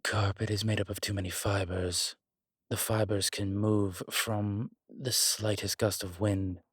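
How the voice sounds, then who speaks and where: A man playing a strong, scholarly demon in a horror roleplay audio, explaining things in a deliberate, halting, pedantic way. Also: fairly low pitch, flat delivery, breathy edge, no echo, clean studio sound. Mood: pedantic, disapproving. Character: strong, scholarly male demon, exasperated occult lecturer. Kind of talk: immersive one-sided roleplay audio drama.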